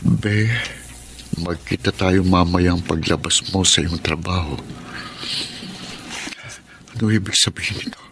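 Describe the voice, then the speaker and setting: An actor playing a hurt, suspicious husband in a Tagalog radio drama, with an aggrieved, earnest delivery. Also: male voice, low voice, fairly even intonation, unhurried, vocal fry, pauses often, background noise. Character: hurt, suspicious husband, a working man confiding his marital troubles.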